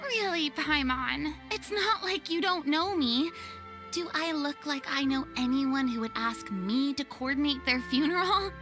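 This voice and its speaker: A woman's voice: sits high, unhurried, music in the background. A woman playing a refined, easily flustered young female celebrity in a video game, speaking formally and theatrically. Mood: indignant. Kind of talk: English video game voice acting.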